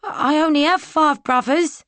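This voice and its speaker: A woman's voice: high-pitched, a little slow, fairly bright tone, no echo, voice pushed up. A woman voicing a timid young male soldier who stammers and hesitates. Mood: nervous.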